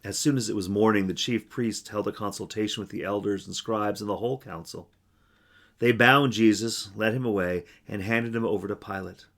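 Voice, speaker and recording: A man, a minister, giving a measured, solemn scripture reading and reflection at a church service. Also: fairly low pitch, fairly even intonation, fairly bright tone.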